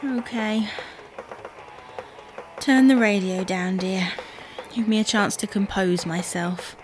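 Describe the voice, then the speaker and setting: A woman's voice: mid-range voice, fairly even intonation, slow pace, music in the background, lightly acted, poor audio. An actress playing a matter-of-fact, defensive wife in a comedy audio drama, needling her husband with dry, pointed retorts. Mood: hesitant confessing.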